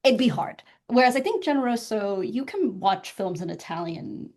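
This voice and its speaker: A woman's voice: mid-range voice, expressive, normal speed, darkish tone. A woman whose first language is Vietnamese, a guest in a podcast interview, speaking thoughtfully with some hedging fillers. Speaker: guest filmmaker. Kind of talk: casual interview talk.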